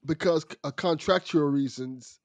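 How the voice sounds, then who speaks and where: A man with a slightly high voice talks casually as a podcast guest. He sounds close and dry, with hardly any room around the voice. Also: moderate pace, dry recording. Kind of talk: casual chat.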